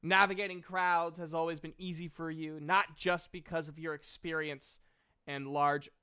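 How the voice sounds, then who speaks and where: A man running a tabletop game as game master, narrating deliberately and haltingly and chatting with players. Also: a little high, level tone, sharp tone, clear, resonant tone.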